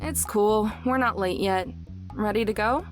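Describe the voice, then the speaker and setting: A woman's voice: medium pitch, measured pace, lightly acted. Woman doing chill young drifter lady in a visual novel, dry delivery, doesn't care much, faint music.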